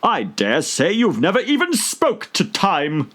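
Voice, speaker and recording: Storybook mad hatter, acted by a man: high, very animated, contemptuous and mournful, theatrical. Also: a little slow, exaggerated voice. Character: mad, mournful, touchy hatter at a tea party.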